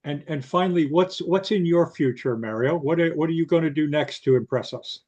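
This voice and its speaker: A man hosting an interview who explains background to the viewers before asking his guest questions. He fills his pauses with "uh" and "um". His voice comes through slightly dull, lo-fi audio. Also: fairly high pitch, a little mellow. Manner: explains context for viewers, filler-laden. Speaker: interview host.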